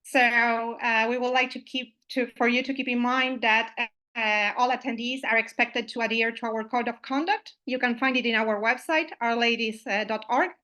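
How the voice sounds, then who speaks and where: A woman's voice: on the high side, steady tone, normal speed, bright, forceful tone, highs cut off. A woman from Uruguay, opening an online meetup as its host, friendly and full of "uh" and "I mean" fillers, over a video call microphone.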